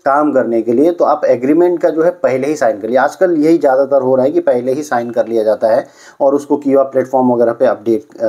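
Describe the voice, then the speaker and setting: A man with a soft, dark, mellow voice at a middling pitch. He presents news updates conversationally, straight to his viewers. He explains things by restating them and drops in English words. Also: lo-fi sound. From Uttar Pradesh, India. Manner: explanatory, repeats and rephrases points, mixes in English words.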